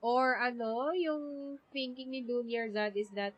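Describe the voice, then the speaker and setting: A woman's voice: a little high, unhurried, muffled recording. A woman with a Filipino accent chatting casually as she comments on a game during a live stream. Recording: game music behind.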